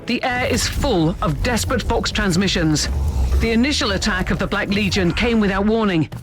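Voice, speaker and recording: An actor voicing a shrewd starship captain in a video game, calm, measured and wry. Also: mid-range voice, music in the background, close to an everyday voice. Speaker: ship captain.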